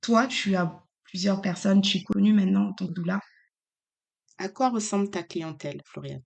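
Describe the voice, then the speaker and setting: Gravelly-voiced woman podcast host. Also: mid-range voice, normal speed. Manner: hesitant, asks with fillers. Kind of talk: interview questions.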